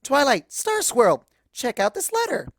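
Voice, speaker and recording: A woman voicing an excitable young female cartoon pony, bubbly and breathless, rambling through a first-person story. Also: sits high, measured pace, dry recording, clean studio sound, pitched above the performer's own voice, exaggerated voice. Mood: cheerful.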